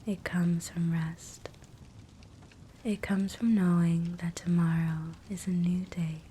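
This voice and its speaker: A woman guiding a sleep hypnosis meditation in a soft, breathy whisper, gentle and soothing. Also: lowish voice, takes it slowly. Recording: soft steady fireplace crackle noise. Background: fireplace ambience.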